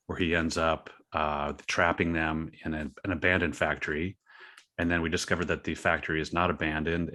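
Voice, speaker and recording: Guy guest in an interview, really low bright voice, kinda halting and modest. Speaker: guest, creator of a horror thriller story. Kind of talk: casual interview talk.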